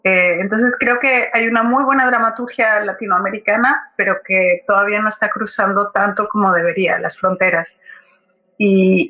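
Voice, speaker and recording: A woman's voice: mid-range voice, bright, forceful tone, poor audio. A woman from Colombia speaking as an interview guest, reflective and hesitant, often checking for agreement as she answers. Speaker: guest playwright.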